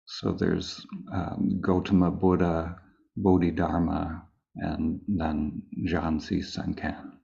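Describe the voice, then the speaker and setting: A man with a low, gravelly voice teaches meditation in a calm manner. He leaves long pauses between short phrases and keeps his tone very even. The sound is a little dull and muffled. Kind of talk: slow guided meditation, spoken live.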